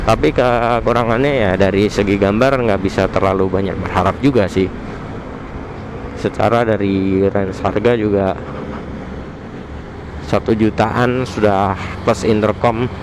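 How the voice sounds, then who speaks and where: A man's voice: fairly low pitch, fairly even intonation, measured pace, long pauses. Jakarta man vlogging over road noise. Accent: Indonesian, Jakarta colloquial. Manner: informal, slangy. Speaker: motovlogger reviewing gear. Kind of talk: casual vlog review, talking while riding. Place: outdoors on a motorbike at night. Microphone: modified headset mic inside helmet. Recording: loud road and engine noise, helmet mic. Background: traffic and vehicle noise.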